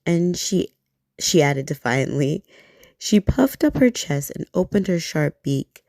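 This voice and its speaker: A woman reading a bedtime story aloud in a soft, gentle, soothing way, close to the mic. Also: low voice, big pitch swings, slow, no room sound.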